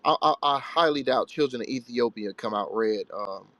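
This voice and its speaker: Gravelly, blunt man teacher. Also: medium pitch, normal speed, a bit bright, dry recording, dull audio. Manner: blunt, rhetorical, streetwise banter. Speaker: religious teacher answering callers. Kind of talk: live call-in Q&A.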